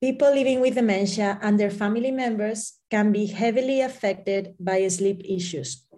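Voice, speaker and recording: Female co-host, mellow tone, formal scripted read. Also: mid-range voice, moderate pace. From Spain. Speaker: neurologist, co-host. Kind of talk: scripted podcast narration.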